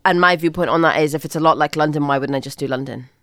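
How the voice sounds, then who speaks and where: A woman's voice: low voice, racing, a bit bright, no room sound, clean studio sound. A woman in her twenties with a mixed Northern Irish and Scottish accent, chatting informally and self-deprecatingly as an interview guest. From Northern Ireland. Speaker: interview guest, fashion stylist and presenter. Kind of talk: casual conversational interview.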